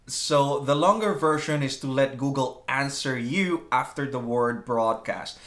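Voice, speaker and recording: A man's voice: mid-range voice, measured pace, a bit bright. Male tech channel host, casual and chatty, upbeat delivery for a how-to video.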